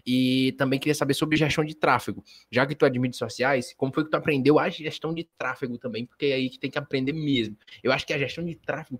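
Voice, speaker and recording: A man's voice: mid-range voice, fairly bright tone. A man from Ceará with a Northeastern Brazilian accent, hosting a podcast in a loose, joking style full of slang. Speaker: podcast host. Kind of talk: casual podcast chat.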